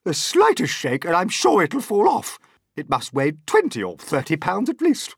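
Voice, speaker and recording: A shrill, bossy storybook aunt played by a man, shrieking far up high and swooping wildly. She is snappish, mean and easily excited, with a dry, close sound. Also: normal speed, creaky, slightly rough, no room sound, heavily acted. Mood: snapping. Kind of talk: audiobook character voice.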